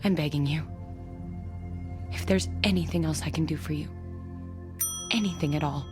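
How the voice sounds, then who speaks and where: A woman's voice: deep voice, moderate pace, close to an everyday voice. An actress playing an anxious, pleading woman who fears for her sanity in a television drama, speaking hesitantly. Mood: alarmed, pleading. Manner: hesitant, fearful. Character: anxious, pleading woman fearing she is losing her mind. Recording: music under the dialogue.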